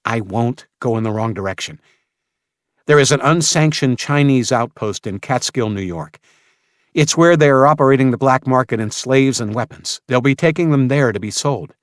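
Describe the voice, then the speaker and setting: A man's voice: mid-range voice, normal speed, no room sound, clean studio sound, pitched above the performer's own voice, natural voice. A man voicing a tense, impatient armed father in an audiobook, speaking with urgent insistence. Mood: urgent, determined.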